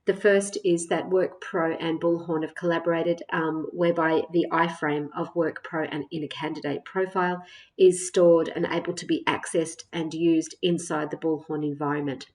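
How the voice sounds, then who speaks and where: A woman presenting a software tutorial as a step-by-step walkthrough, explaining in a measured way with frequent hesitations. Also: deep voice, fairly even intonation. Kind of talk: screen-share product walkthrough, semi-scripted narration.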